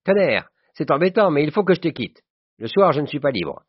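A man voicing a boisterous, vulgar, jovial rich old school friend in an audiobook, loud and exuberant. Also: medium pitch, sing-song, brisk, pitched above the performer's own voice. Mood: loud, effusive. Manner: loud, exuberant, back-slapping familiarity. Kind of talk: audiobook character voice. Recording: dull, roomy sound.

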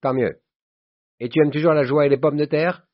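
A man voicing a boisterous, vulgar, jovial rich old school friend in an audiobook, loud and exuberant. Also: medium pitch, very animated, a little fast, voice pushed up. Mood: jovial, nosy. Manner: loud, exuberant, back-slapping familiarity. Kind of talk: audiobook character voice. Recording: dull, roomy sound.

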